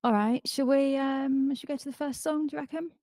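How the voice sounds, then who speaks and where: A woman's voice: high voice, steady tone, a little fast, darkish tone, no room sound, studio quality. A woman co-hosting a casual live stream, teasing her partner and laughing often. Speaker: co-host.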